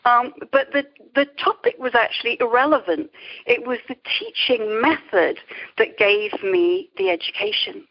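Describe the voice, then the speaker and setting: A woman's voice: fairly high pitch, animated, moderate pace, highs cut off. A middle-aged woman telling stories as an interview guest, articulate and wry. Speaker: guest, creativity coach. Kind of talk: conversational storytelling in an interview.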